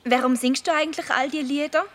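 A woman's voice: high voice, steady tone, fast talker, close to an everyday voice. A woman playing a curious, dreamy schoolgirl in a children's radio play, with a Swiss German accent and a questioning manner. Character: curious, dreamy schoolgirl, earnest and a little naive.

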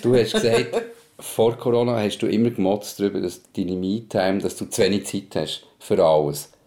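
Creaky, mellow man. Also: fairly low pitch, steady tone, normal speed. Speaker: podcast co-host. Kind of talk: casual conversation.

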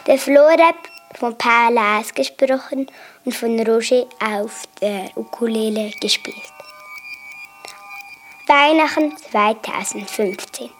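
Female speaker, higher pitch, slow pace, reading credits, faint music behind. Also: rough recording. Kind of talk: reading radio play credits.

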